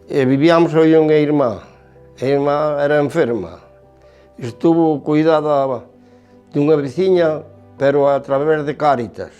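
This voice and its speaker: A man's voice: on the high side, a little slow, a little mellow, smooth, dull audio, faint music behind. An older man, telling his story matter-of-factly. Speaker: documentary interviewee.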